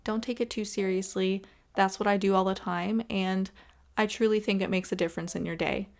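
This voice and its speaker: A woman's voice: mid-range voice, steady tone, fairly quick, creaky. A woman hosting a gaming video with casual, chatty, encouraging commentary in an informal way. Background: background music.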